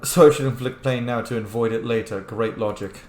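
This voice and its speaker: A man's voice: mid-range voice, steady tone, a little fast, darkish tone. A man giving casual, blunt and heavily profane commentary while playing a role-playing game. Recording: roomy echo, faint background noise.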